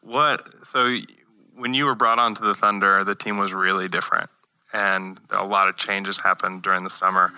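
Male podcast host, lowish and punchy, casual interviewer, dry close mic. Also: level tone, normal speed, sharp tone, clean tone, no room sound, rough recording. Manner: easygoing, asks with short affirmations.

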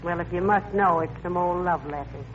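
A teasing, sentimental wife played by a woman in a vintage radio comedy. She chides gently and stays unruffled. The old broadcast recording is muffled, with clear noise over it. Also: lowish voice, brisk, lightly acted. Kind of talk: 1940s radio comedy acting.

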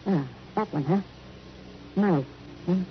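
A woman's voice: on the low side, unhurried, natural voice. A woman playing a world-weary hotel keeper in an old radio crime drama, musing in a sardonic, insinuating way. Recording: muffled old radio recording, faint noise.

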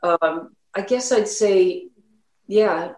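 A woman's voice: mid-range voice, very slow, a little mellow, reverberant, poor audio. A woman who grew up in Sweden, with a slight Swedish accent, talking casually as a musician guest in an interview and rambling with many fillers.

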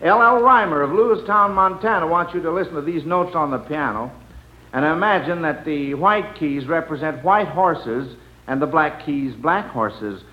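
A man's voice: on the high side, animated, moderate pace. A man hosting an old-time radio quiz for children, chuckling and patiently prompting the contestants. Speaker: quiz show host. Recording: dull, noisy old radio recording.

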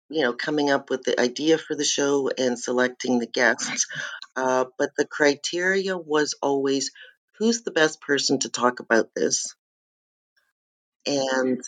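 Calm, measured woman explaining her work. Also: a bass voice, rough recording. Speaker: author and former radio show host, interview guest. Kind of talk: academic interview.

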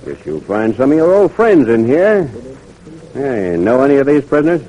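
Actor as a brisk, jeering guard sergeant. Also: male voice, medium pitch, very animated, dark tone, lightly acted, low-quality recording. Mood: offhand, needling. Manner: offhand, bossy. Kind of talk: old-time radio drama acting.

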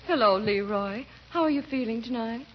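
A woman's voice: a little high, normal speed, lightly acted. Proper, solicitous vintage radio schoolteacher, played by a woman. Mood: tender, solicitous. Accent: American. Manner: polite, solicitous, slightly hesitant. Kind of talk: 1940s-50s radio comedy acting. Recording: dull, faintly noisy old radio recording.

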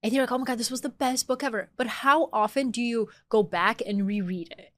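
A woman's voice: fairly high pitch, fairly even intonation, a little fast, no room sound. Chatty woman guest, dry close sound. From born in Bulgaria, raised in Atlanta, Georgia. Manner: chatty, frequent "like" fillers, laughs easily. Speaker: author and podcast guest. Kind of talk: casual conversational interview.

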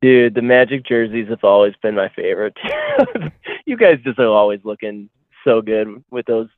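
A man's voice: mid-range voice, huge pitch swings, normal speed, dry recording. A young man calling in as a guest on a podcast, chatting loosely with lots of fillers. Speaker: guest athlete. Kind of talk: casual podcast interview. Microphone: phone call. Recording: tinny phone line.

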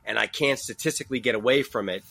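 A man's voice: mid-range voice, fast, vocal fry, sharp tone, husky, dry recording. A man co-hosting a podcast, self-deprecating and swearing freely in casual chat. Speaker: podcast co-host.

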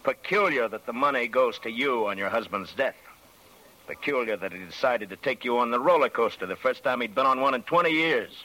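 An actor playing a measured, matter-of-fact police sergeant, suspicious and unmoved, in an old-time radio drama. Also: male voice, medium pitch, animated, sharp tone, low-quality recording. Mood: dry, suspicious. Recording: vintage radio drama recording.

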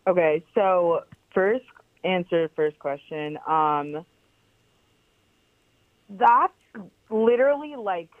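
A woman's voice: lowish voice, lively intonation, slow, long pauses, dry recording. Young woman on a tinny phone line. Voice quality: powerful voice. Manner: chatty, many "like" fillers, emphatic. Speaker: guest, founder of a clothing brand. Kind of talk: casual podcast interview.

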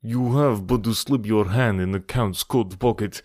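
A man voicing an insistent, self-righteous gentleman accuser in an audiobook, formal and pressing. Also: fairly low pitch, unhurried, no echo, clean studio sound. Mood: insistent, accusing. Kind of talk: audiobook character voice.

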